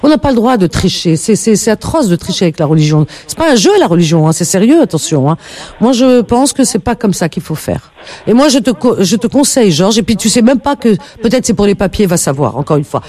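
A woman's voice: mid-range voice, sing-song, a little fast, dark tone. A woman hosting a call-in radio show, lecturing her caller in an emphatic, indignant way.

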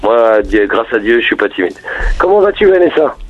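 A man from the Paris region calling in to a radio show by phone, talking haltingly with lots of repeated words and fillers. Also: mid-range voice, very animated, dry recording, faint music behind. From Paris region, France. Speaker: radio caller. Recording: muffled phone line.

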